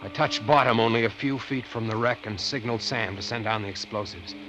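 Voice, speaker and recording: A man's voice: fairly low pitch, fairly even intonation, fairly quick, close to an everyday voice, low-quality recording. A man playing an earnest, suspenseful treasure-hunting diver who narrates his ordeal in old-time radio style. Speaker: narrator and lead character. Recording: vintage radio recording, music behind.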